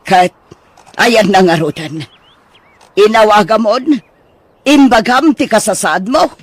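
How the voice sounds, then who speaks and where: A woman's voice: mid-range voice, takes it slowly, no echo. A woman playing a stern, worried middle-aged mother in an audio drama, with an exasperated, questioning manner. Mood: worried, scolding. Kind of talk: Ilocano audio drama acting.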